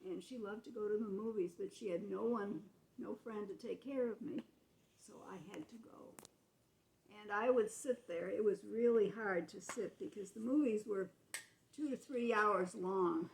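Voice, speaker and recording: A woman's voice: medium pitch, normal speed, vocal fry, a little hiss or hum, low-quality recording. An American woman in her eighties telling childhood memories to family in a casual, explanatory, matter-of-fact way. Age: 80s. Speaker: grandmother recalling her childhood.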